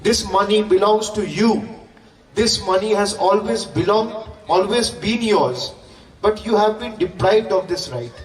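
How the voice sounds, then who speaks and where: A man's voice: high-pitched, measured pace. A man with an Indian accent giving an emphatic, repetitive political stump speech at a public rally. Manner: emphatic, repetitive, with rhetorical questions. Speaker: political campaign speaker. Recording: distant, echoey, noisy public-address sound.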